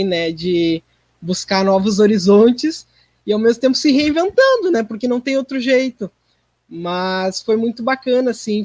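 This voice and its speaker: A man's voice: high voice, a little mellow, dry recording. Dry-sounding man reflecting casually. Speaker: performing artist, interview guest. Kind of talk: casual reflective interview talk.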